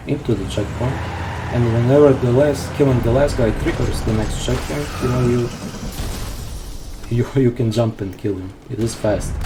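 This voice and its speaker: A man's voice: medium pitch, noisy background. Man explaining his speedrun. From Croatia. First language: Croatian. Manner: explaining step by step, casual, self-deprecating. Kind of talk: live gameplay commentary. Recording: game sounds and gunfire behind.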